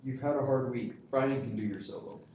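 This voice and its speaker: A man playing a supportive, busy high school choir teacher in a screenplay table read. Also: a little fast, a little mellow, a little hiss or hum, lightly acted. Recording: echoey, dull, distant room recording.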